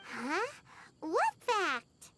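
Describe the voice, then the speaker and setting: Squeaky, very high little fairy companion. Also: female voice, very slow, exaggerated voice. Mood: puzzled, pensive. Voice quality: squeaky, childlike. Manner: excitable, cheeky, exclaiming. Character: small childlike female fairy companion, chirpy, nosy, bold. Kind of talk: video game character acting. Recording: loud music under the voice. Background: tinkling game music.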